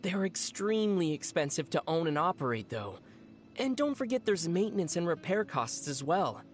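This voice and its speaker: A woman voicing a calm, sensible young female adventurer in a video game, in a wry, measured and thoughtful way. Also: lowish voice, a little hiss or hum. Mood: matter-of-fact.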